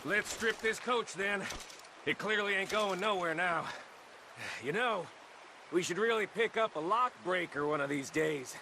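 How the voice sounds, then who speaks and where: An actor playing a cocky, talkative young American outlaw in a video game, speaking in a breezy, bantering way. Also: male voice, sits high, unhurried, background noise. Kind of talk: video game cutscene acting.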